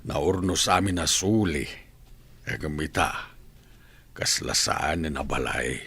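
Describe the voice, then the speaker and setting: An actor playing a lonely, widowed elderly father who speaks softly and plaintively in a husky voice. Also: very deep voice, animated, slow pace, exaggerated voice. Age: older. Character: lonely widowed old father, gentle, longing. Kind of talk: radio drama acting.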